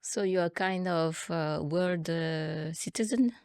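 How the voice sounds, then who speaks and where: A woman interviewer asking questions hesitantly, pausing between her words. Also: fairly low pitch, a little mellow, dry recording, studio quality.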